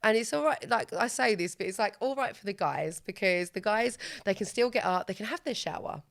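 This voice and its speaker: A woman from England in her thirties, a podcast guest talking casually in a chatty, animated way, full of "like" and quoted asides. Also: medium pitch, brisk, gravelly. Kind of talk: casual chat.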